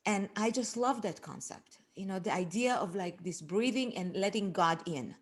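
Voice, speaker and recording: A middle-aged woman from Israel with an Israeli accent, chatting as a podcast guest in an expressive, laughing way. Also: mid-range voice, normal speed. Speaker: guest, life coach. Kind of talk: casual podcast chat.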